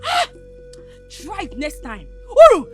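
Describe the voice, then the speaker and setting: An actress playing a furious young wife who shouts threats and scolds, with music behind her. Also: sits high, huge pitch swings, extremely slow, exaggerated voice.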